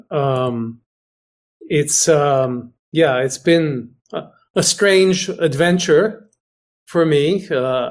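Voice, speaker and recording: Guy who grew up in greece talking over a video call, kinda halting, lots of restarts, plain middle voice. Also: mid-range voice. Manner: halting, searches for words, often restarts. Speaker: guest, researcher. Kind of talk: casual conversation.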